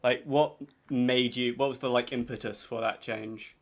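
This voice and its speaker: A man's voice: mid-range voice, fairly even intonation, normal speed. A man hosting a podcast interview, casually asking questions and answering with short affirmations. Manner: short affirmations, loose phrasing. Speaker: podcast host.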